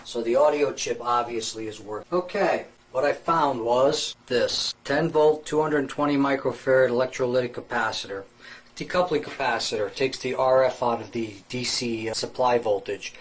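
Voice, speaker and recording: A man's voice: medium pitch, normal speed. A man giving an unscripted, matter-of-fact repair tutorial, explaining each step methodically as a technician. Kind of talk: unscripted hands-on repair demonstration.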